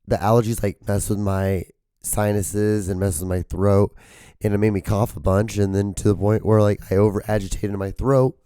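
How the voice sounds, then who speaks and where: A man hosting his own podcast with a hoarse voice that cracks and gives out. He rambles casually, swears often, and holds back his volume to spare his throat. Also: on the low side, level tone, moderate pace, mellow, dry recording. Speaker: podcast host.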